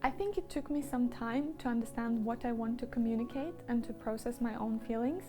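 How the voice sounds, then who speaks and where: A woman's voice: fairly high pitch, brisk. A woman from Moldova, a painter, speaking in a thoughtful, introspective interview monologue. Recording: faint music behind.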